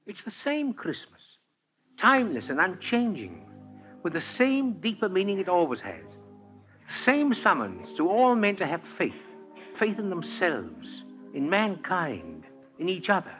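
A man's voice: sits high, heavily acted. An older man playing a kindly, earnest old Santa Claus in a 1940s radio drama, with genteel, heartfelt oratory. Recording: dull vintage 1949 radio recording, music behind.

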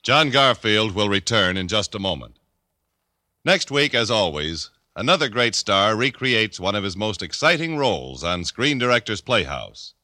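A man's voice: on the low side, very animated, normal speed, sharp tone, no room sound, clean studio sound. A man with an American accent reading scripted announcements in the formal style of 1950s radio.

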